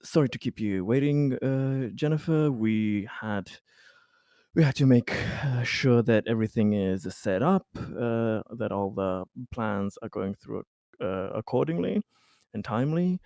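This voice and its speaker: A man playing a reassuring, polite colony official in a tabletop game, speaking in a measured, halting way. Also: mid-range voice, lively intonation, warm tone, no room sound, studio quality.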